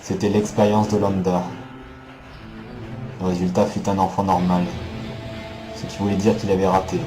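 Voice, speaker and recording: A man playing a grave, composed elite soldier in a dramatic game dub, speaking in a measured, solemn, terse way. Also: sits low, flat delivery, music in the background, natural voice. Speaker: fan dub voice actor.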